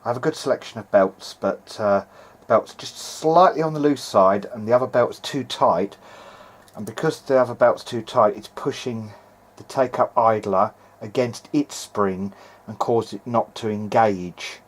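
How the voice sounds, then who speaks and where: A man's voice: lowish voice, normal speed. A man informally talking through a hands-on repair in a video diary, hesitant and half muttering to himself as he works. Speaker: vintage hi-fi hobbyist narrating own repair video.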